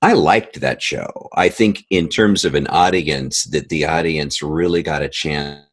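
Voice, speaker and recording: A man's voice: rumbling low, a little slow. A man talking casually as a guest in an interview, telling stories about his creative work.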